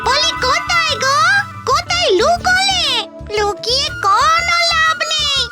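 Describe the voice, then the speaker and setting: A clingy female ghost wife from a cartoon fairy tale. She has a piercingly high voice and stretches her insistent, teasing phrases slowly, with loud playful music underneath. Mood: taunting, searching.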